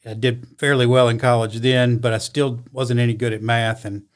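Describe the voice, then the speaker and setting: A middle-aged man speaks as a guest on a podcast. He answers calmly and briefly, with a dry, understated delivery. His voice sits at a middle pitch for a man. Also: moderate pace. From born in Italy, raised in the US. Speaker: guest, criminal defense lawyer. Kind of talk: casual interview answers.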